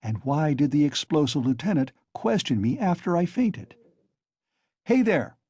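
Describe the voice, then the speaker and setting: A man's voice: on the high side, very animated, normal speed, a little mellow, slightly rough, dry recording. A man voicing Raskolnikov, a feverish bitter young man. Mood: taunting. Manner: taunting, venomous, overwrought.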